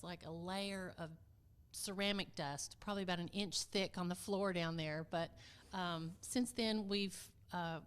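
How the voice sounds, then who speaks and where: Middle-aged woman, medium-pitched, casual podcast guest. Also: a bit bright, clear, resonant tone. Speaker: podcast guest, community theater vice president of production. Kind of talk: casual conversational storytelling.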